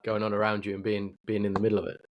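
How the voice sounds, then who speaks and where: A man's voice: fairly low pitch, monotone, very fast, dull audio, a little hiss or hum. A British man hosting a podcast, asking questions in a casual, slightly tentative conversational way. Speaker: podcast host. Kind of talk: casual interview chat.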